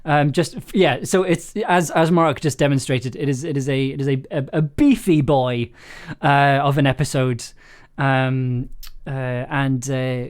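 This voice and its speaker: A man's voice: mid-range voice, fairly even intonation, measured pace. Jokey man chatting on a livestream. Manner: jokey, self-deprecating, prone to laughing. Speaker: audio drama maker hosting a live stream. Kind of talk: casual livestream chat.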